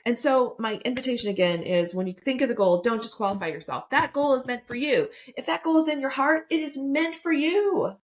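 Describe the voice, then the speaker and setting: A woman's voice: sits high, brisk, hardly any pauses. A woman in her fifties hosting a solo motivational podcast, chatty and enthusiastic, often asking "right?". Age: 50s. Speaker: podcast host and coach.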